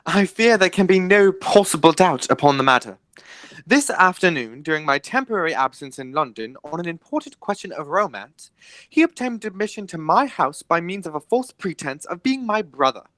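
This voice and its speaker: An actor playing a formal, dryly polite Victorian gentleman guardian in a radio comedy, speaking with measured emphasis. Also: mid-range voice, expressive, no room sound, natural voice. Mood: firm, disapproving. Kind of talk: stage comedy as amateur radio play.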